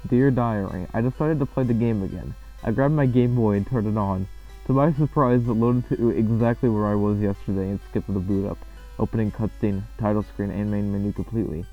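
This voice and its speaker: A man's voice: on the low side, a little fast. A man narrating a creepy horror story in a dramatic reading style. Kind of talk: dramatic reading of a creepypasta, with casual reaction after. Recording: muffled, lo-fi, loud music behind. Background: eerie game music.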